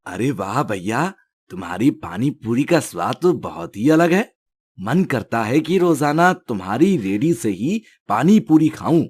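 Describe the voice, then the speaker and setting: A man's voice: medium pitch, very animated, measured pace, darkish tone, husky. A man playing an admiring customer at a village snack cart in a storybook tale. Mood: delighted praise. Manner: appreciative. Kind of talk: storybook character dialogue.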